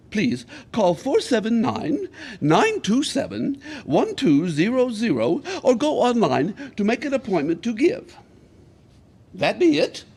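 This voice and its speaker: A man playing a childlike, eager male servant who pleads and whines in a husky, bouncy voice. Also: high voice, a little mellow, full character voice. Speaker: comic actor in an audio play.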